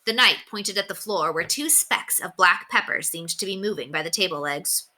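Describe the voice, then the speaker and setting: A woman's voice: fairly low pitch, lively intonation, normal speed, sharp tone. A woman narrating a children's story expressively, as a teacher reading aloud.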